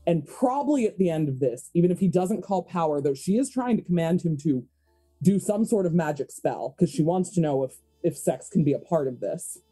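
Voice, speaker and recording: A woman's voice: lowish voice, expressive, brisk, mellow, soft background music. A woman playing a tabletop roleplaying game, improvising her character's scenes and chatting casually at the table.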